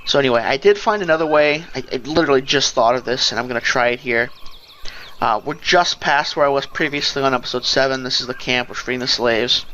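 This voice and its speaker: A man's voice: mid-range voice, brisk, bright, forceful tone, lo-fi sound, a little hiss or hum. A man giving casual, rambling gameplay commentary, thinking aloud as he goes. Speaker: let's play host. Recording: game music behind the voice. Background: fantasy game music and sounds.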